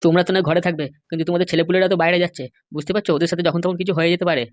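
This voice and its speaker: An anxious middle-aged male politician from a comedy cartoon, acted out by a performer, rattles along very quickly in a dry, close sound. He wheedles and complains as he pleads. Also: medium pitch, flat delivery, no room sound, close to an everyday voice. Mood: worried warning.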